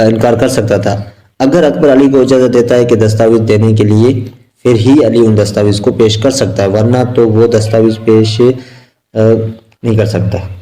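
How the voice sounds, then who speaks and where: A Pakistani man teaches like a law lecturer preparing students for an exam. His voice is soft-edged and mellow at an ordinary male pitch. He explains haltingly, circling back to repeat each point and illustrating it with simple examples. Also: low-quality recording.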